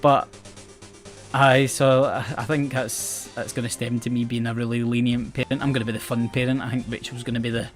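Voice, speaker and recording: A man's voice: mid-range voice, little pitch movement, brisk. A young man with a west coast Scottish accent, chatting casually about the game he is playing and about his life, rambling and self-mocking. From Scotland. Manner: chatty, rambling, self-mocking, often restarts words and laughs. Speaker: gaming channel host. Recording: game music playing faintly behind.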